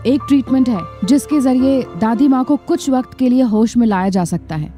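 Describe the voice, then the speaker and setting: A woman's voice: on the high side, moderate pace, close to an everyday voice. A woman playing a grave, cautious senior doctor in an audio drama, speaking formally and firmly. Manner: formal, urgent, firm. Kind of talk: Hindi audio drama acting. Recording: loud music bed under voice. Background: dramatic background music.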